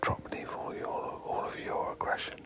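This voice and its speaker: A man guiding a meditation in a breathy whisper, speaking in short, hypnotic phrases with pauses and repeated words. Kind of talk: guided meditation, hypnotic and repetitive.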